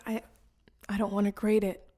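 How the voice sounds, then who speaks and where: A woman's voice: medium pitch, monotone, normal speed, noisy background, lightly acted. A woman playing a guarded, hurting young daughter in a radio play. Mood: hesitant, evasive. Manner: hesitant, evasive.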